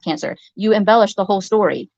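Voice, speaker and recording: A woman with a soft voice, rambling hesitantly in a clip from a livestream. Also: medium pitch, fast, no echo, highs cut off. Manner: hesitant, many fillers and restarts.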